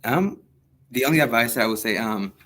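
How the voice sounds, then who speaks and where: A young man from El Salvador, a native Spanish speaker, answering interview questions as a high school history teacher, earnest and hesitant with many pauses and fillers. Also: animated, a bit bright. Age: young adult.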